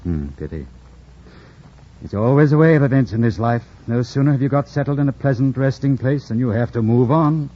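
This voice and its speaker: A man playing a brooding, commanding, passionate gentleman in an old-time radio drama, with theatrical, imperious delivery and a husky voice. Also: medium pitch, lively intonation, fairly quick, natural voice. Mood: musing. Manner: theatrical, imperious, swinging from hushed to forceful. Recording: dull, lo-fi old radio recording.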